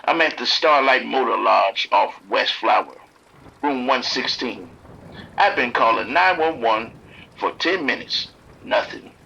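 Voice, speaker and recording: An actor playing a streetwise pimp trapped in a motel bathroom, blunt and grudging with the police. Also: male voice, mid-range voice, expressive, measured pace, gravelly, pushed and bright, highs cut off, a little hiss or hum, heavily acted. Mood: shaken. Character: streetwise male pimp hiding from attackers, bitter toward police.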